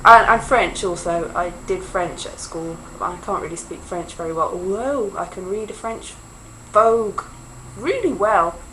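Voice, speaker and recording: A woman's voice: mid-range voice, measured pace, few pauses, sharp tone, a little hiss or hum, poor audio. Rambling self-mocking woman vlogger. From Wales. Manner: self-mocking, wandering, jokey asides. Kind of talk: rambling casual monologue.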